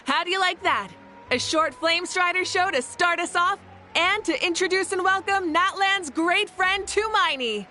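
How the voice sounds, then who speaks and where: A woman's voice: very high voice, normal speed, bright, forceful tone, faint music behind. A woman playing a confident, easygoing young motorbike-riding leader in an anime-style video game, breezy and teasing. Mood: showy.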